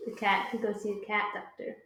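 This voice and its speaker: A woman reading a children's picture book aloud as an aunt, adding gentle, chatty asides. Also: medium pitch, flat delivery, fast talker. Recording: echoey room with faint noise.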